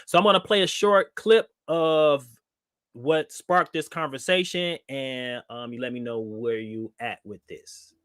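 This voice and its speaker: A man's voice: medium pitch, a little slow, clear, resonant tone, dry recording, clean studio sound. A man hosting a live online discussion show, talking casually with many fillers, a little nasal from a cold. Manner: relaxed, with frequent fillers and restarts. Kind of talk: casual live-stream talk.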